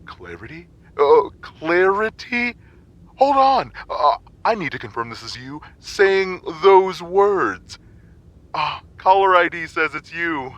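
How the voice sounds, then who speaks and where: A man playing a smug, teasing, grumpy former mentor with a theatrical, drawn-out delivery in an audio drama. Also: on the high side, very animated, a bit bright, no echo, lo-fi sound, heavily acted.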